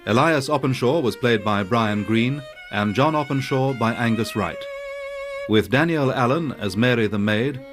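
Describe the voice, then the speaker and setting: Male announcer, medium pitch, formal scripted credits read over clear string music. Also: normal speed. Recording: violin music behind.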